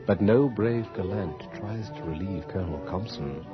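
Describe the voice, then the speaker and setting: An actor playing a suave, courtly gentleman poet who narrates a ball in a radio horror drama, with a theatrical delivery. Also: low-pitched, moderate pace, close to an everyday voice. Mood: suspenseful. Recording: dull 1980s radio recording with music.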